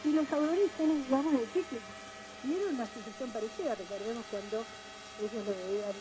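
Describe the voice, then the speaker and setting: A woman's voice: on the high side, quick pace. A woman in an unscripted, discursive radio talk in Spanish, heard through a shortwave receiver. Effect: shortwave radio reception. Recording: noisy hissing shortwave radio broadcast.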